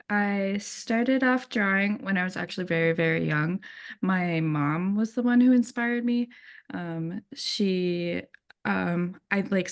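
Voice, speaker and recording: A young woman from Wisconsin, an illustrator guest talking casually, with many "likes" and ums, acting out little quotes. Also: on the low side. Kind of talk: casual interview chat.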